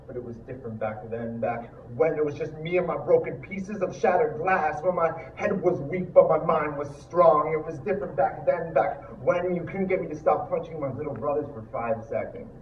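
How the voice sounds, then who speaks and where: Echoey, distant young male slam poet. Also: on the high side. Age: young adult. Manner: earnest, dramatic, deliberate phrasing. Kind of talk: spoken word poetry performance. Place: live poetry slam stage. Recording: echoey room, distant muffled mic, noisy.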